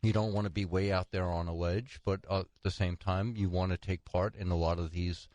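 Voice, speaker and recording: A man's voice: low-pitched, steady tone, a little fast, a little mellow, dry recording. A man co-hosting a financial talk show, moving between prepared article summaries and conversational comments. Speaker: co-host presenting financial newsletter articles.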